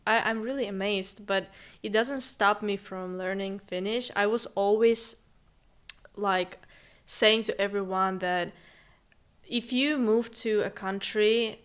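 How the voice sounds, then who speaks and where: A young woman from Uzbekistan, a native Russian speaker, chatting as a podcast guest in a lively way with frequent laughs. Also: mid-range voice, clear, resonant tone. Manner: lively, laughs often, quick asides. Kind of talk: casual chat.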